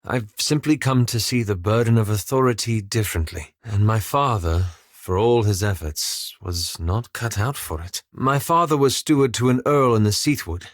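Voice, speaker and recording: Guy voicing a reserved bookish fantasy game companion, lowish voice, formal and careful, kinda anxious and wry, really dry studio sound. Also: dry recording, close to an everyday voice. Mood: reflective, sober. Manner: formal, measured, articulate, wry understatement. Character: reserved, scholarly, anxious male fantasy adventurer, courteous and candid. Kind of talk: scripted video game character dialogue.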